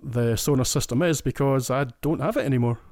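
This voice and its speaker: A man's voice: mid-range voice, fairly quick, darkish tone, no echo, studio quality. A Scottish man in his forties, a podcast guest, explaining things with enthusiasm. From Scotland. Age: 40s. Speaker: listener guest. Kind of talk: casual interview.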